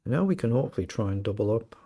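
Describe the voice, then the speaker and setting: A British man with a slightly croaky voice, casually commentating his own chess game and thinking aloud. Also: lowish voice, expressive, very fast, background noise. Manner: thinking aloud, self-questioning. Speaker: chess streamer.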